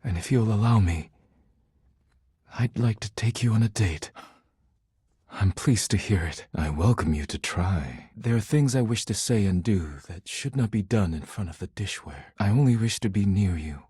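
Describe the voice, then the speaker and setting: A man voicing an earnest, proud poet in a video game, speaking in formal, solemn, stilted diction with a husky voice. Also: on the low side, animated, moderate pace, dark tone, no echo, studio quality. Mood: tender, warm. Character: earnest, proud male poet devoted to dishware, formal and intense. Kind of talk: video game character voice acting.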